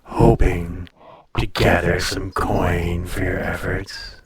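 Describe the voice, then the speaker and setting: A man acts out an ancient male dragon in human guise, speaking extremely slowly in a low, even voice. He is proud and condescending, teasing the listener in an intimate audio roleplay. His phrases are drawn out and haughty, with background sounds of creatures behind him. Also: a crowd in the background. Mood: haughty, scornful.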